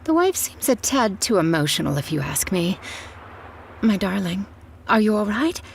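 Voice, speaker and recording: A woman playing a doting, excitable middle-aged mother in a radio drama, fussy and gushing. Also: medium pitch, normal speed, vocal fry. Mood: fussy concern. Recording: faint background noise.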